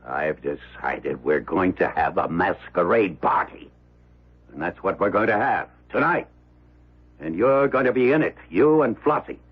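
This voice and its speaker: A man's voice: low-pitched, animated, unhurried, husky, heavily acted. An actor playing a wealthy, domineering old man in a radio drama, blustery and wheedling. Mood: stubborn. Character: wealthy, overbearing old miser playing showman host. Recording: dull old radio recording.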